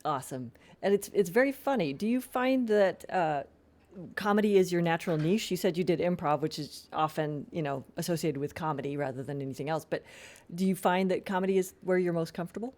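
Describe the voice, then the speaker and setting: A woman with a low-pitched voice hosts a casual interview. She is attentive and encouraging, asking questions in an informal, conversational way and dropping in short affirmations. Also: brisk, darkish tone. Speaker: podcast interviewer and host.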